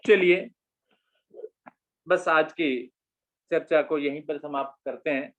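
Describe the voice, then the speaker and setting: A man's voice: a little high, slow, fairly bright tone. A man hosting a casual group discussion, politely prompting guests to share old memories. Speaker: discussion host. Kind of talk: casual group chat on a call. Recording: dull lo-fi call audio.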